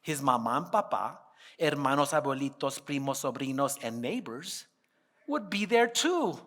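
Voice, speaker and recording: A man who is a pianist and composer, talking casually to a concert audience and reciting a poem in a playful manner, with many chuckles and dramatic pauses. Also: medium pitch, sing-song, fairly bright tone. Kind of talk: informal stage talk and poem recitation. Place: concert hall stage. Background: faint audience laughter.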